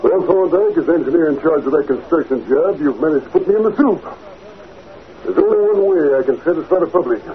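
An actor playing a pompous, bullying, scheming senator in a 1940s radio drama. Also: male voice, on the high side, expressive, fairly quick, natural voice. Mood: irate. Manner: pompous, bullying, stammers when cornered. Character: blustering, scheming senator, irritable and self-serving. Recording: noisy, tinny 1940s radio recording.

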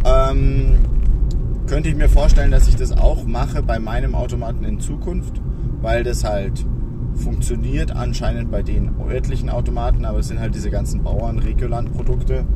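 A young man, chatting casually in a vlog from inside a car, rambling with lots of fillers. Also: on the low side. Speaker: vlogger. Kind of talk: casual talking-head vlog. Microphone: phone camera at arm's length. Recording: camera mic a bit far off with loud background noise.

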